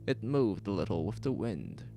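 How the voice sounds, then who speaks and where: Gravelly guy reading horror story, slow build suspense, lots of up and down, music under it. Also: on the low side, big pitch swings, dark tone. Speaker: narrator. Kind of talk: scripted horror audio drama narration. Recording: music behind the voice.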